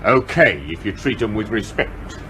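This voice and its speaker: An actor playing a wry steward serving aboard a submarine in a radio drama. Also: male voice, lowish voice, animated, normal speed, sharp tone, slight background noise.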